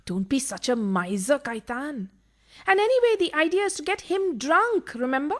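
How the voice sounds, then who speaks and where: An actress playing a scheming, theatrical mistress in a farcical radio play, coaxing and easily thrilled. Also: female voice, high voice, big pitch swings, normal speed, fairly bright tone.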